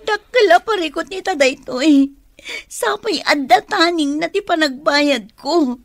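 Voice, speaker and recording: A woman's voice: high-pitched, animated, unhurried, no room sound, clean studio sound. A woman with an Ilocano accent playing an anxious, whiny office worker in a radio comedy drama. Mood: worried, tearful. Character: anxious, whiny debt-ridden female office worker, the schemer's sweetheart.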